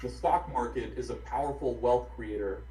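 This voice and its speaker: A man in his thirties, from Bulgaria, a company executive reading prepared testimony in a composed, even way over a video call. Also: medium pitch, normal speed. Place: home office on video call. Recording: echoey video call audio.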